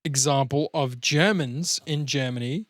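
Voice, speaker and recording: A man's voice: mid-range voice, a little slow, a bit bright, no room sound, studio quality. An Australian man hosting a podcast in casual banter, with a rambling, sweary way of telling stories. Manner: rambling, sweary, digressive storytelling. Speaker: podcast host.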